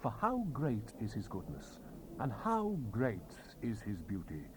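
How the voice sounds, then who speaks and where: A man's voice: on the low side, huge pitch swings, measured pace. A man giving a solemn, declamatory and theatrical dramatized reading of King James scripture on a hissy old cassette recording. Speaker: scripture narrator. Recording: hissy 1970s cassette rip.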